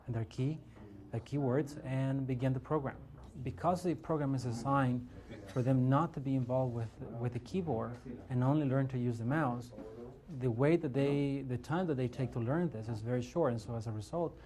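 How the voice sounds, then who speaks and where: A man's voice: mid-range voice, dark tone. A man, a program developer, explaining his work in an interview with some hesitations.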